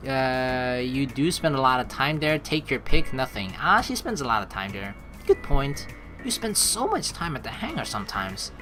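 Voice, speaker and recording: A woman's voice: rumbling low, big pitch swings, normal speed, fairly bright tone. A woman hosting a let's play, switching between reading game text aloud and casual, playful joking commentary. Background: game music.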